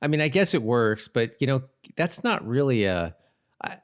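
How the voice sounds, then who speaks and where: A man's voice: mid-range voice, big pitch swings, normal speed. A man co-hosting a podcast in casual TV recap chat, talking in a rambling, self-correcting way. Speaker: podcast co-host.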